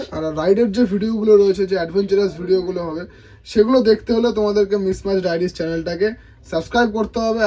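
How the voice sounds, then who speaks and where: A male vlog host speaks in a notably high voice with an Indian Bengali accent. He presents in a casual tutorial style, addressing viewers informally. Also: mellow, low-quality recording.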